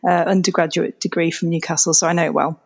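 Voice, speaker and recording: A woman's voice: lowish voice, little pitch movement, fast talker, slightly rough. A woman from England with a Yorkshire accent, answering podcast interview questions in a thoughtful way, hedging with fillers. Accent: Yorkshire English. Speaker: analytics executive, interview guest.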